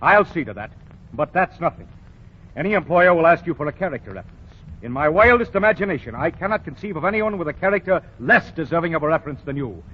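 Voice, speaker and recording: A dull, lo-fi 1940 radio recording of a self-righteous male boss. His voice sits fairly high and has a husky edge. He lectures pompously and emphatically, in old radio comedy style. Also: lively intonation, fast talker. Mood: stern lecturing. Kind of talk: 1940s radio comedy acting.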